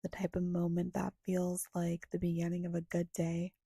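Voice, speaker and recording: A woman guiding a meditation in a soft, breathy, hushed and soothing voice, leaving long pauses between short phrases. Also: fairly low pitch, completely flat delivery, no echo, clean studio sound. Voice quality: soft, breathy, often slipping toward a whisper. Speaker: guided meditation guide. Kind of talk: guided meditation narration.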